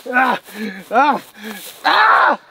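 A woman narrating a family vlog from behind the camera on a farm, excitedly cheering her kids on as they play. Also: high-pitched, extremely slow, creaky, a little hiss or hum. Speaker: mother and family vlogger. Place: outdoors on a farm, barn and lakeside.

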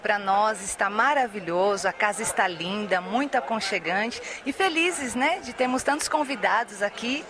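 A woman's voice: mid-range voice, animated, moderate pace, few pauses. A Brazilian woman in her thirties giving a promotional interview as a restaurant co-owner. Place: restaurant by open night street. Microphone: handheld interview microphone. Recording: clear restaurant crowd chatter.

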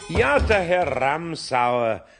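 An actor playing a good-natured, grumbly older master carpenter with a Bavarian accent in a children's radio play, hesitant and given to chuckling. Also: male voice, a little high, big pitch swings, extremely slow, over music, full character voice. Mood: awkward, genial. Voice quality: husky.

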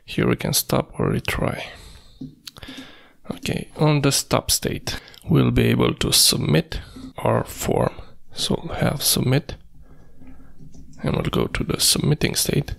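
A man presenting a screencast coding tutorial, thinking aloud in a halting, piecemeal way with a creaky, gravelly voice. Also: mid-range voice, wildly swooping pitch. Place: indoors at a computer, screen recording.

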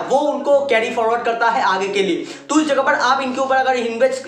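A man's voice: extremely high pitch, fairly bright tone. A young man talking casually to the camera as a cricket channel presenter. He is chatty, talks with his hands and keeps falling back on fillers like "theek hai" and "matlab". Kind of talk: casual to-camera sports chat. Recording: echoey room with faint background music.

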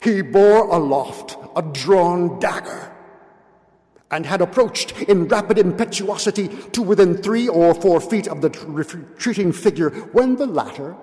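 An older man giving a solemn, deliberate, theatrical reading of literature from a podium in a hall. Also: fairly high pitch, darkish tone. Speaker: reader at a public event. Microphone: gooseneck podium microphone. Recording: long hall reverb.

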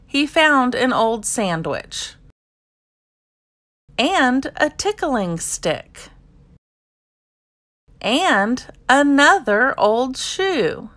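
Casting: female children's storybook reader, highish pitch, very lively intonation, expressive and slow-paced narration.

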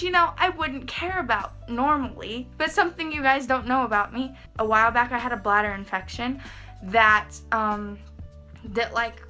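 A young woman rambling in a casual vlog from her bedroom, talking to a camera in front of her and saying "like" a lot. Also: fairly high pitch. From Texas. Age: young adult. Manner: rambling, lots of "like", expressive hand gestures. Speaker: vlogger. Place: small bedroom, indoors. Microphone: camera in front, head and shoulders framing.

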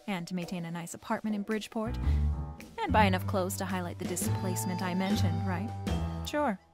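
A woman's voice: medium pitch, brisk, over music, lightly acted. A woman playing a wisecracking, flirtatious private eye, narrating a noir radio drama in a wry, playful way.

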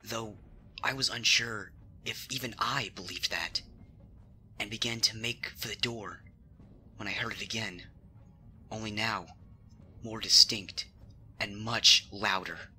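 A man's voice: fairly low pitch, fairly even intonation, slow pace, long pauses, bright, forceful tone. A man reading a scripted horror story in a suspenseful, theatrical way. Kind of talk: dramatic scripted horror narration. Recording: music under the reading. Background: background music.

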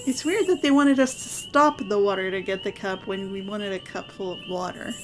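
A woman's voice: medium pitch, fairly quick, a little hiss or hum. A woman casually commentating on a game with a co-player, making wry, mock-exasperated remarks. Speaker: podcast-style game-playing host.

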